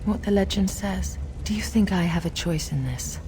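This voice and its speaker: An actress playing a solemn, resolute elven queen in a fantasy game, speaking in a formal, stately, measured way. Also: mid-range voice, background noise, lightly acted. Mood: resolute, urgent. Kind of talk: scripted fantasy game voice acting. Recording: music behind the voice.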